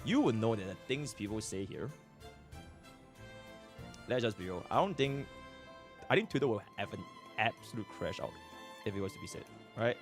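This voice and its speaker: A man with a Singaporean accent speaking on a livestream, halting and filler-heavy. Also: fairly low pitch, lively intonation, over music. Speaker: streamer. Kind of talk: livestream talk.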